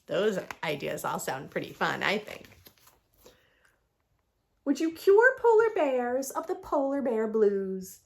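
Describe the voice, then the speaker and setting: A woman reading a picture book aloud for young children in an expressive, sing-song storytelling manner, adding her own asides. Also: a little high, slow, gravelly, long pauses. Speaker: children's read-aloud host.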